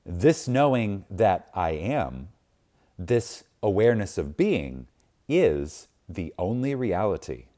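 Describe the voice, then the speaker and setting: A man reading a spiritual text aloud in a reverent, dramatic way, solemn and deliberate, with long pauses and strong emphasis. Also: sits low, big pitch swings, dark tone. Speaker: audiobook reader.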